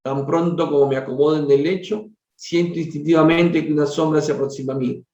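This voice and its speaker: A man's voice: fairly high pitch, fairly even intonation, a little fast, mellow, far from the mic, close to an everyday voice. A man reading the part of a troubled, weary father in a spiritual storybook, earnest and formal. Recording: dull, roomy lo-fi recording.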